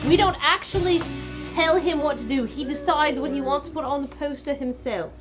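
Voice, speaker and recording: Chatty New Zealand woman, music behind. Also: sits high. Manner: chatty, self-deprecating, halting restarts. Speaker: charity stream host. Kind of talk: casual chat.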